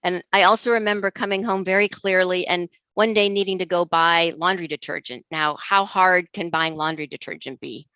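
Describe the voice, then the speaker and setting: Middle-aged woman giving a measured talk. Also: on the low side, steady tone, pushed and bright, no echo. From Egypt. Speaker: intercultural trainer. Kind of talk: recorded presentation talk. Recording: dull dry lo-fi recording.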